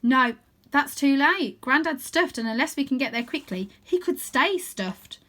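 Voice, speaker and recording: Woman reading as an earnest young boy, high-pitched. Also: lively intonation, unhurried, fairly bright tone. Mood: urgent. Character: anxious, urgent young boy adventurer. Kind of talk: children's storybook character voice.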